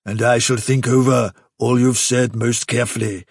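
An old chief rabbit from a storybook acted out by a man, slightly husky and closely recorded. He is courteous to a fault, vague and condescending, drawing out his phrases to put off whoever he addresses. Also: medium pitch, flat delivery, dry recording, clean studio sound. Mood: soothing, dismissive. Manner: bumbling, over-courteous, drawn-out patronising phrasing. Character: elderly male Chief Rabbit, politely condescending, vague and evasive.

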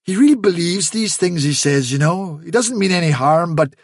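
A man voicing a wily storybook rabbit chief who sounds fatherly, reasonable and gently condescending. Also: fairly high pitch, animated, moderate pace, dry recording, clean studio sound. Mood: kindly, pitying. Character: wily male rabbit chief, fatherly, patient, mock-kindly on trial. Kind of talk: storybook character reading.

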